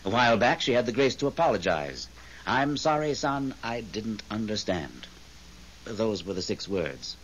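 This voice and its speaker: An actor playing a jaded, sardonic long-dead ghost in a radio drama, urbane, dry and world-weary. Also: male voice, lowish voice, big pitch swings, normal speed. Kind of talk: 1970s radio drama acting. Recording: muffled, hissy old radio recording.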